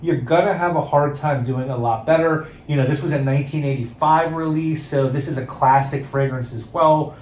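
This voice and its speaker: A man's voice: fairly high pitch, moderate pace, few pauses, roomy sound, slight background noise. A man casually reviewing fragrances, chatty and hesitant, with many fillers and restarts.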